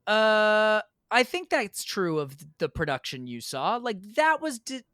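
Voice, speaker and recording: A man's voice: high voice, very animated, measured pace, pushed and bright, no echo, studio quality. An American man chatting casually as a podcast guest, emphatic and prone to repeating words. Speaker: podcast guest, theatre performer.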